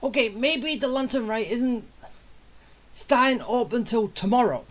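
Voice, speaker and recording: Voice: mid-range voice, measured pace, fairly bright tone. A video game streamer giving casual commentary, muttering and thinking aloud in a rambling way. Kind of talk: casual gameplay commentary, talking to self.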